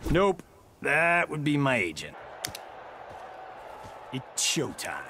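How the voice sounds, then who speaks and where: An actor playing a brash, wisecracking action star in a video game, with ambient noise behind. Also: male voice, a little high, big pitch swings, slow pace, sharp tone, full character voice. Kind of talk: video game character dialogue.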